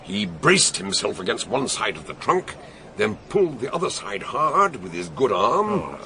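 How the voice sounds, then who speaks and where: A man's voice: fairly low pitch, big pitch swings, unhurried, sharp tone, slight background noise, exaggerated voice. An older British actor playing an eccentric, brilliant detective doctor who narrates and explains his case in a radio drama, theatrical and emphatic. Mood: emphatic explaining.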